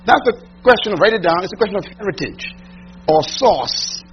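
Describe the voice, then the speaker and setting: A man preaching a sermon to a room, emphatic, asking rhetorical questions and swinging from loud to hushed. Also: high voice, lively intonation, brisk. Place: large room with audience. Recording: dull lo-fi recording with mains hum.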